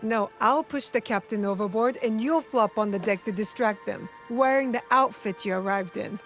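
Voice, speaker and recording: A woman's voice: fairly high pitch, normal speed, soft background music, natural voice. An actress playing a bold, spirited young woman warrior in a game drama, with a direct way of talking. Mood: teasing. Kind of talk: dramatic game cutscene acting.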